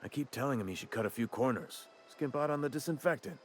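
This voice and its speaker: An actor playing a sly, cheerfully crooked small-town schemer in a video game, folksy, slangy and insinuating. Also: male voice, mid-range voice, expressive, fast, close to an everyday voice. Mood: scheming. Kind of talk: video game character dialogue.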